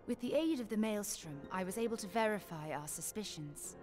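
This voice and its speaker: Shrewd, composed female fantasy scholar-agent played by an actress, medium pitch, formal archaic delivery, music behind. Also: lively intonation, a little mellow, close to an everyday voice. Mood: grave, urgent. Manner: formal, measured, archaic diction. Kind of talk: dramatic video game voice acting.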